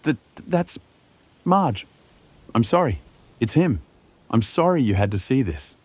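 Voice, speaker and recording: A man voicing an earnest, protective young hero in a fantasy story, urgent and halting when feelings rise. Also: mid-range voice, very animated, darkish tone, no echo, voice pushed up. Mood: apologetic.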